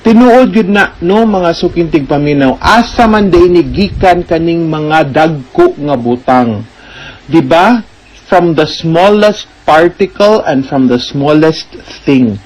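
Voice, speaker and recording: A woman hosts a radio advice program with a Cebuano Filipino accent. She addresses listeners very slowly and reflectively, sometimes slipping into English. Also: deep voice, animated, darkish tone, low-quality recording. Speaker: radio legal advice host, attorney.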